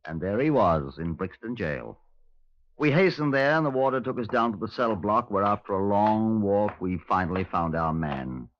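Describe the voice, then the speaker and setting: An actor in a 1950s radio drama playing a dry, stern Scotland Yard inspector, formal, terse and deadpan. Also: male voice, lowish voice, normal speed, natural voice. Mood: sober narrating. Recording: dull lo-fi 1950s radio recording.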